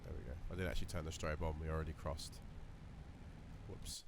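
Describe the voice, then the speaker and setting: A man with a slightly croaky voice, casually talking through a flight simulator session while thinking aloud with lots of fillers. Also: rumbling low, steady tone, fairly quick. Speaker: flight sim video creator. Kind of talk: relaxed running commentary while flying a simulator. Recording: voice over loud simulator cockpit noise.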